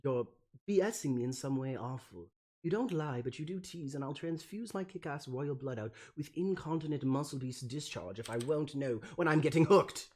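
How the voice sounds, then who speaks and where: A man's voice: fairly high pitch, normal speed, darkish tone, close to an everyday voice. A man voicing a petulant, haughty teenage alien troll prince in an amateur comic reading, pompous and indignant in archaic, sweary speech. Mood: suspicious, indignant.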